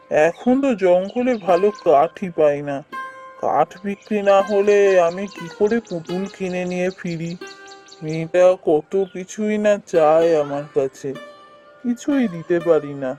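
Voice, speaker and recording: An actor playing a poor, kindly woodcutter father in a cartoon, speaking in a gentle, plaintive, musing way. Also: mid-range voice, expressive, a little slow, faint music behind, natural voice. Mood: weary, worried. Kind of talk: cartoon voice acting.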